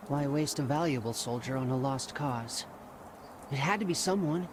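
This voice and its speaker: A man's voice: mid-range voice, normal speed, slight background noise, close to an everyday voice. A man playing a loyal, spirited younger Spartan brother in a video game, eager and supportive. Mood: consoling. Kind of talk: dramatic video game acting.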